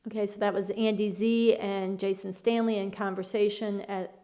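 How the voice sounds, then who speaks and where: Mid-pitched woman presenting a show. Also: flat delivery, normal speed, mellow, faint music behind. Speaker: show host.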